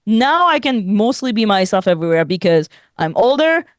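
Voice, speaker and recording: Animated French-native woman, dry mic. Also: mid-range voice, moderate pace, no echo. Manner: animated, laughs often, many restarts. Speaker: podcast co-host. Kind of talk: casual chat.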